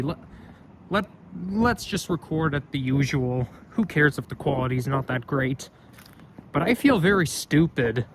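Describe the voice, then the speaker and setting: A young man with a loud voice, rambling and correcting himself as he talks through a casual walking vlog, recorded on a phone camera outdoors. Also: medium pitch. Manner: rambling, chatty, self-correcting. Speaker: vlogger. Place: outdoors on a street. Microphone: phone camera held while walking. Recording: outdoor street noise.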